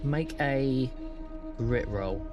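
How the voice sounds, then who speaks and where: A British man narrating as game master of a tabletop game, measured and slowing for suspense. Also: mid-range voice, dull audio. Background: loud music.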